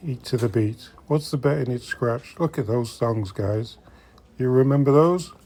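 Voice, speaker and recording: A man's voice: medium pitch, a little slow, warm tone, slight background noise. A middle-aged man giving casual, chatty commentary to viewers while browsing in a shop, sometimes muttering to himself. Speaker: host of a vintage collecting vlog. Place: inside a secondhand shop.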